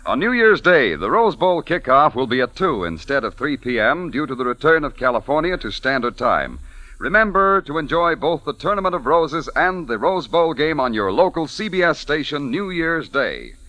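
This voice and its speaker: Lively high-pitched old-time radio announcer man. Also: moderate pace, no room sound. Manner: formal, polished announcer delivery. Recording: old radio recording with music behind.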